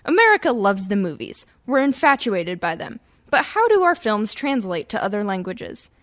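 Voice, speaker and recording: A woman's voice: medium pitch, very animated, moderate pace. A woman hosting a radio comedy, reading scripted introductions. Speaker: host.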